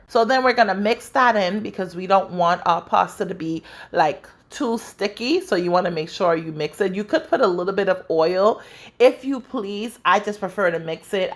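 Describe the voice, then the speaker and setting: A woman from the Bahamas with a Bahamian accent, hosting a home cooking tutorial in her kitchen and chatting directly to her viewers. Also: lowish voice. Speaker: cooking channel host.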